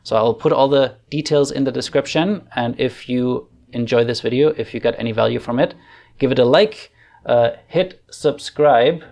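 A man in his thirties with a German accent walks through a software tutorial in a relaxed, chatty way, thinking aloud with plenty of fillers. His voice sits at a middle pitch with a gravelly edge. He speaks at home into a desk microphone. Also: normal speed. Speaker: online facilitation trainer. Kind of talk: casual screen-share tutorial. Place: home room. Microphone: desk microphone on arm.

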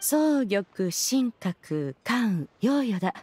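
A woman's voice: mid-range voice, very animated, extremely slow, dark tone, a little hiss or hum. A woman voicing a haughty ancient female immortal in a video game, speaking in an archaic, imperious, formal manner. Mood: proud, dignified.

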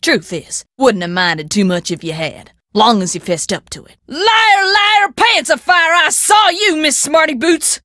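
A woman's voice: high-pitched, lively intonation, unhurried, hardly any pauses, bright, forceful tone, no room sound, clean studio sound, heavily acted. An actress voicing a folksy, sassy woman who keeps a candy shop in a video game, teasing and scolding in a rural American accent. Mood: scolding, suspicious. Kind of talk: video game character acting.

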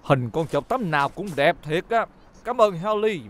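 A man playing a sentimental elderly grandfather in a dubbed children's cartoon, with a southern Vietnamese accent and an expressive, folksy manner. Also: high-pitched, moderate pace. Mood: grateful. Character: elderly grandfather, sentimental, apologetic, grateful townsman. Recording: children's music loud behind the voice. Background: loud children's music.

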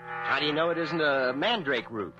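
A man's voice: on the high side, moderate pace, lo-fi sound, lightly acted. A man playing a nervous, evasive husband in a radio drama, stammering and falsely friendly. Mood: uneasy. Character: shifty, nervous husband hiding something, evasive adult man. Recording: radio drama with music underneath.

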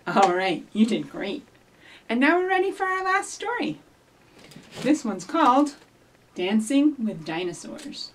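Animated high-pitched woman leading children's storytime. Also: measured pace, pauses often, slight background noise. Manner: animated, simple instructions addressed to young children. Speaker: children's librarian hosting storytime. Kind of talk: children's storytime with rhymes and read-aloud. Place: small indoor office or library room with block walls. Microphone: camera a few feet away. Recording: distant camera microphone.

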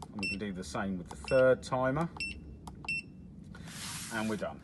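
A man's voice: on the low side, measured pace. A man walking through a device's controls step by step, explaining in a plain, matter-of-fact way while he presses the buttons. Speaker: product demonstrator. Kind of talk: hands-on product walkthrough. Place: indoors at a table with a demo unit. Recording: noticeable background noise.

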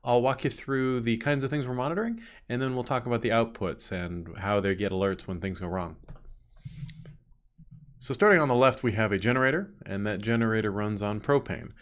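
A man's voice: fairly low pitch, a little fast. A man in his thirties giving an orderly, explanatory product walkthrough as a company presenter.

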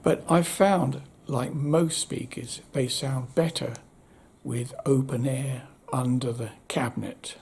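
A man in his seventies giving a casual, unscripted review with wry understatement, in a husky voice. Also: medium pitch, fairly even intonation, slow, darkish tone. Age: 70s. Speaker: audio equipment reviewer. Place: indoor room at home.

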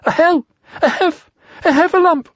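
A man voicing a small, timid, excitable piglet in a storybook, squeaky and easily flustered. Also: moderate pace, no echo, muffled recording. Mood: breathless, frightened. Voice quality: high, squeaky. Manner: breathless, stammering, flustered.

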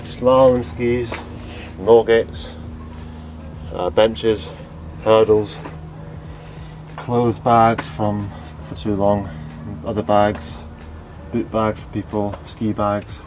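A man's voice: lowish voice, level tone, very slow, darkish tone, rough recording. A young man vlogging casually about his ski training, chatty and dry, filmed on a handheld camera at arm's length. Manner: chatty, dry and self-deprecating. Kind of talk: casual vlog. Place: indoor ski hall and outdoors by a van and beach. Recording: music playing underneath.

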